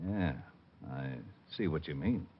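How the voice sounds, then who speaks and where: An actor playing an exasperated American homicide lieutenant in a 1940s radio drama, gruff, dry and complaining. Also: male voice, a bass voice, lively intonation, measured pace, exaggerated voice. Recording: dull vintage radio broadcast.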